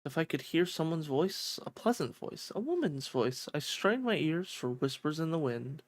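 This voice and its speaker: A streamer voicing a pensive young heroine narrating a fantasy visual novel in a measured, wondering way. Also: mid-range voice, sing-song. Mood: dreamy, wondering.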